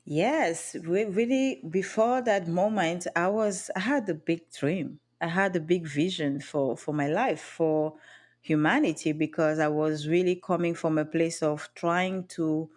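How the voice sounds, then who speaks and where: A woman's voice: lowish voice, unhurried, darkish tone. Cameroonian-accented woman, earnest guest. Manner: earnest, with frequent restarts and light laughs. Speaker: podcast guest, booking agent. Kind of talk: reflective interview talk.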